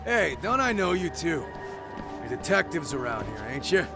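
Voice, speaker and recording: A man's voice: a little high, sing-song, normal speed. An actor playing a hostile, hot-tempered male street thug in a game dub, with aggressive, threatening slang. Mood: pushy. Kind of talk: dubbed video game cutscene acting. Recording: music behind the voice.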